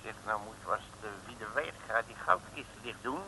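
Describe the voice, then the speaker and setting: An actor playing a gruff, grumbling, bossy male detective with folksy slang and a gravelly voice, in 1960s comic radio play style. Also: on the low side, normal speed. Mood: tense, hushed. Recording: noisy old radio recording.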